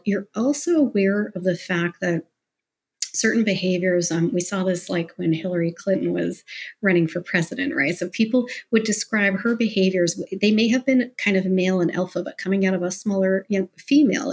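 Female interview guest, professional, conversational and candid. Medium register with some huskiness, animated and discursive delivery. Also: medium pitch, normal speed, husky.

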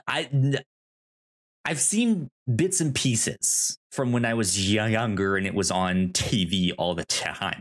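A man co-hosting a casual pop culture podcast, talking in a halting, rambling, informal way. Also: low voice, fairly bright tone. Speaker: podcast co-host.

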